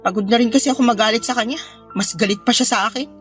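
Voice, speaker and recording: A young woman playing an exhausted, frustrated new mother in an audio drama, speaking in a weary, emotional, halting way. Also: fairly high pitch, a bit bright, faint music behind, lightly acted. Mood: weary, resigned.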